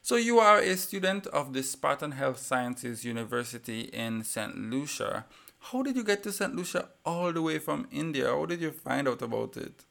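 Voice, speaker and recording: A man's voice: mid-range voice, very animated, normal speed, creaky, fairly bright tone. A man hosting a podcast, reading a formal introduction and asking questions. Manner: reads a formal introduction, then asks questions. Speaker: podcast host. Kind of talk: interview.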